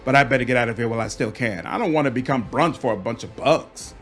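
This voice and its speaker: An actor playing a jittery, wisecracking young rogue in a video game, excitable, flippant and hurried. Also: male voice, medium pitch, soft background music, natural voice. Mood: startled, flippant. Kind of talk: video game character acting.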